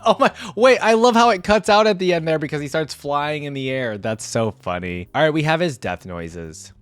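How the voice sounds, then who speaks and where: A man's voice: a little high, lively intonation, normal speed, clear, resonant tone, dry recording. A young man giving casual reaction commentary, informal and easily amused, thinking aloud as he goes. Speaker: reaction video host.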